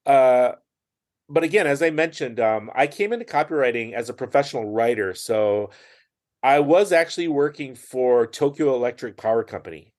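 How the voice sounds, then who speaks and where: A man's voice: mid-range voice, lively intonation, moderate pace, a little mellow. A man speaking as a podcast guest in casual conversation, chatty, with frequent fillers and restarts. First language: English.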